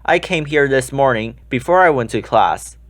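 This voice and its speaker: A man's voice: medium pitch, lively intonation, normal speed, fairly bright tone, lightly acted. A man voicing a forgetful, flustered male schoolteacher in a children's storybook, with a fretful manner. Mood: relieved, earnest.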